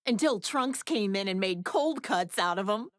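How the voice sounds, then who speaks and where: A woman's voice: medium pitch, lively intonation, normal speed, fairly bright tone, no room sound, clean studio sound, lightly acted. A woman voicing a sardonic, clever, outspoken woman in an English anime game, wry and casual in manner. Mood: sceptical.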